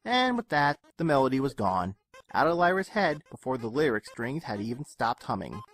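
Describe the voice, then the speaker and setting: A man reading a scripted fan fiction story aloud with expressive narration. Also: medium pitch, normal speed. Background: soft game music.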